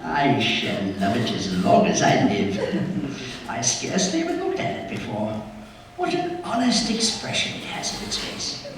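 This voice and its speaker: An actor playing a reformed old miser, giddy and kindly, in a theatrical radio play style. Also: male voice, medium pitch, wildly swooping pitch, unhurried, fairly bright tone. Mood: joyful. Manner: exuberant, chuckling, swooping delivery. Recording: echoey hall, distant mic, faint noise.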